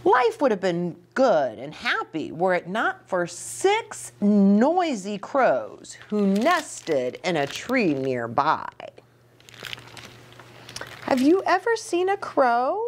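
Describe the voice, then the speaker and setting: A middle-aged woman reading a picture book aloud to young children, animated, with chatty asides and questions for her listeners. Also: medium pitch, slow, a little hiss or hum. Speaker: children's ministry storytime host. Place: small indoor reading nook with bookshelf and curtains.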